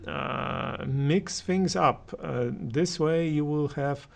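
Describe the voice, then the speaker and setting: A man giving unscripted hi-fi commentary as a reviewer, speaking haltingly with many pauses. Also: fairly high pitch, gravelly. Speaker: audio reviewer and channel host. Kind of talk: unscripted opinion commentary on hi-fi gear.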